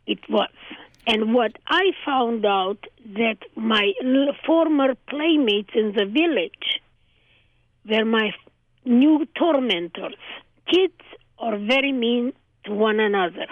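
An older woman from Transylvania, a native Hungarian speaker, recounting her childhood in an interview in measured, short phrases. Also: on the high side, lively intonation, no room sound. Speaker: guest. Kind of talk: oral history interview. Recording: dry tinny phone line.

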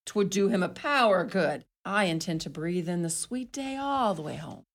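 An actress playing a scolding, sharp-tongued Irish mother in a radio play, theatrical and scornful. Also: fairly low pitch, expressive, moderate pace. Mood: brisk, bossy. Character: sharp-tongued, indignant Irish mother, middle-aged or older.

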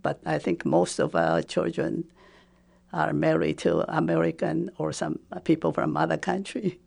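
A woman in her seventies from Taiwan, a Japanese speaker, recalling her life slowly and hesitantly with frequent fillers. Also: deep voice, lively intonation, creaky, darkish tone. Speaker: interviewee. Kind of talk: personal recollection.

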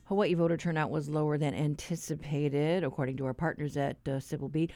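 A woman's voice: low voice, fairly even intonation, brisk, dark tone, dry recording, soft background music. A woman hosting a public radio program in a conversational manner on a studio microphone. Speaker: radio host. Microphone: close studio microphone.

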